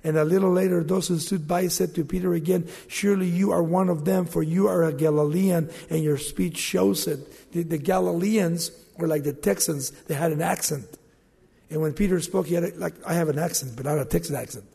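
Gravelly man preaching in a reverberant church. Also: a little high, brisk, mellow. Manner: preaching with rising emphasis and questions to the congregation. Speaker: pastor. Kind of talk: sermon. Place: church sanctuary. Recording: long hall reverb.

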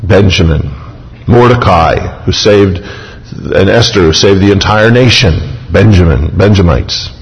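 Low-voiced pastor preaching in a reverberant hall. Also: male voice, a little slow, vocal fry, dull audio. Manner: emphatic, paraphrasing scripture in a vivid first person. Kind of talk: sermon.

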